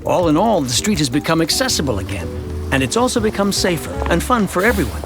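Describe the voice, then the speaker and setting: Expressive, higher-voiced suburban family man. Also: quick pace, creaky, music in the background. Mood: upbeat, proud. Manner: conversational, expressive storytelling. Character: chatty, earnest suburban family man telling his town's story. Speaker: narrator. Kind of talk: scripted first-person narration for an animated educational short.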